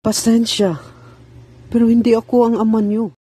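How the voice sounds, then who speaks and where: A man's voice: very high-pitched, completely flat delivery, moderate pace, warm tone, slightly rough. A man playing a stubborn young husband in an amateur radio drama. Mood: panicked. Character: stubborn young husband eager for many children, later frightened.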